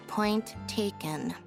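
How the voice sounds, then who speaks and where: A deadpan young magician's assistant in a video game. The voice sits a little high, with a matter-of-fact delivery. Game music is clearly audible underneath. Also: female voice, heavily acted. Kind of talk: video game character acting.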